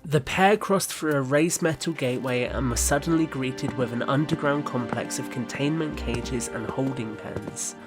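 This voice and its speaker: A man narrates an audio drama as scripted storytelling. His voice is of medium pitch. Music is clearly audible beneath the narration. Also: normal speed, hardly any pauses.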